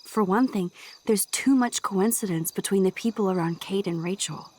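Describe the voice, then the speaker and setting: A young American woman playing an earnest, cautious teenage girl in a video game, speaking softly. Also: mid-range voice, normal speed, close to an everyday voice. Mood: earnest, reassuring. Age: young adult.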